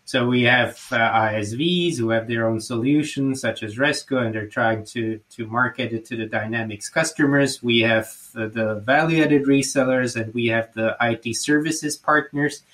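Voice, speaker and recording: A man's voice: mid-range voice, normal speed, hardly any pauses, a bit bright. A man whose first language is Slovak, hosting a business interview and asking friendly, summarizing questions. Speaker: interview host.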